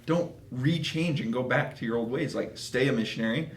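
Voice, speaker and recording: A man's voice: mid-range voice, fairly quick, slight background noise. A man in his twenties with a mid-range voice chats as an interview guest. He is earnest and emphatic, and the room adds noticeable echo. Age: 20s. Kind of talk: casual interview chat. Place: small office conference room. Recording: roomy, echoing office.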